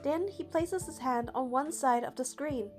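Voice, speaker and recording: A woman narrating a game playthrough, reading the story text aloud in a steady, even way. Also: high voice, moderate pace, soft background music.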